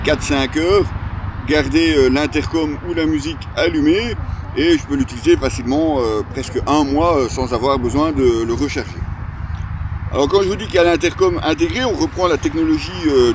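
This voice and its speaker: A middle-aged man with a Belgian French accent giving a casual, unscripted, hesitant product review outdoors as a motorcycle gear reviewer. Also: a little high. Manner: hesitant, explanatory, conversational. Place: outdoors, stopped during a motorcycle ride. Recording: clear outdoor ambience and traffic noise.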